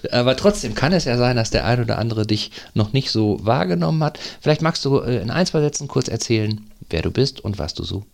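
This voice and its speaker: Gravelly-voiced male interview host. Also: mid-range voice, animated, fairly quick, hardly any pauses. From North Rhine-Westphalia, Germany. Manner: hesitant, many fillers and restarts. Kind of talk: casual interview chat.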